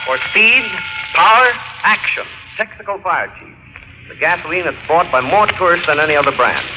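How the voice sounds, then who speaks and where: A man's voice: fairly high pitch, lively intonation, normal speed. An American man reading a sponsor announcement in emphatic, declamatory 1930s radio style. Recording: noisy muffled 1930s radio recording.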